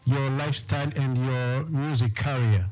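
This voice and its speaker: A man hosting a radio interview, speaking in halting English and often repeating words. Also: mid-range voice, steady tone, a little mellow, low-quality recording. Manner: halting, repetitive phrasing in English. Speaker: radio host interviewing a musician. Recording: music playing behind the voice.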